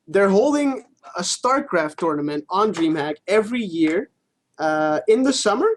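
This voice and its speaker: A man co-hosting a gaming talk show, explaining news in a casual, informal way. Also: high-pitched.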